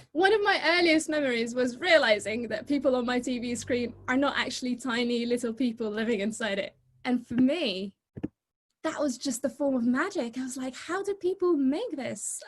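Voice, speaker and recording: A young woman from Egypt speaks over a video call in a bright, high voice. She talks to the audience in a lively, chatty and informal way, and laughs easily. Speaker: guest speaker. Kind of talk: presentation talk on a video call.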